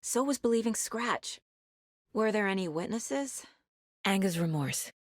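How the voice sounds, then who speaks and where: An actress playing a determined, level-headed FBI agent in a video game, speaking in a measured, serious and slightly husky voice. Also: female voice, medium pitch, expressive, dry recording, clean studio sound. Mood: pensive, serious. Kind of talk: naturalistic dramatic game dialogue and inner monologue.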